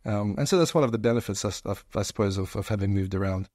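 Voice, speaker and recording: A man's voice: lowish voice, quick pace, darkish tone, no echo, clean studio sound. A man in his forties with an Australian accent, chatting as an interview guest, long-winded with many restarts. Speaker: guest, investor. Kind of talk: casual interview chat.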